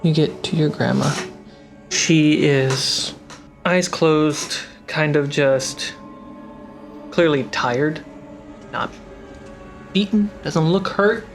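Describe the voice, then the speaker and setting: A game master narrates a tabletop fantasy adventure in a measured, dramatic style. The delivery is slow, with frequent pauses and some fry in the voice. Soft music runs underneath. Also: mid-range voice. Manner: unhurried, measured, pausing between phrases.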